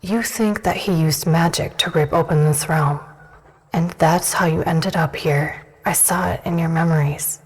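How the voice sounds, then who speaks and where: A woman playing a stern, guarded warrior of an ancient dragon-blooded race in a fantasy audio drama, speaking in a measured, commanding way. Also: deep voice, fairly even intonation, husky, rough recording. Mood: guarded, questioning.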